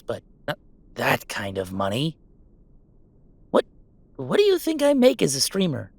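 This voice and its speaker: A man acts out a wisecracking young male werewolf in an audio roleplay. He has a mid-pitched voice and talks in affectionate, teasing banter with sarcastic flourishes. The sound is dry, with no room to it. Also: vocal fry, a bit bright, no echo, heavily acted. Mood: playful, defensive. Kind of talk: one-sided roleplay audio drama.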